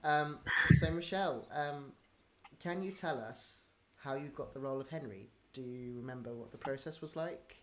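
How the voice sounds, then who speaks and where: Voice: medium pitch, normal speed, a crowd in the background. A host running a casual livestream Q&A over a video call, friendly and rambling with many ums. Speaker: audio drama producer, Q&A host.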